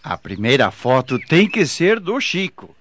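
A man's voice: medium pitch, very animated, measured pace, a bit bright. An actor playing a jovial young man who teases his brother, in radio drama style. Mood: jovial, bossy. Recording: dull lo-fi, faint noise.

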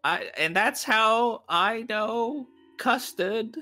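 Male game player, playful improviser, very slow and forceful delivery. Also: high voice, lively intonation. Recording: music playing behind the voice. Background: music.

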